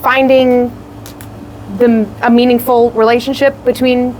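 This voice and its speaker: Expressive young woman, rambling answers. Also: fairly high pitch, a little slow, a little hiss or hum, poor audio. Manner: rambling, expressive, many fillers. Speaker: puppeteer and actor. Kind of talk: casual interview.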